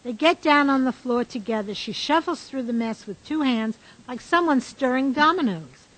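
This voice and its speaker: Female narrator for a radio play, reading stage directions, pitched a little high. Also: lively intonation, normal speed, a bit bright, rough recording.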